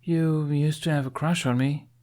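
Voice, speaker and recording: Man voicing a proud Viking warrior woman, pitched up. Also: steady tone, darkish tone, natural voice. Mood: probing, blunt.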